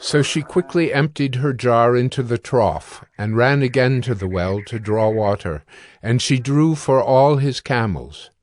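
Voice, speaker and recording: A man narrating a dramatized scripture reading in a measured, formal, scripted style. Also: medium pitch, a little mellow, no room sound.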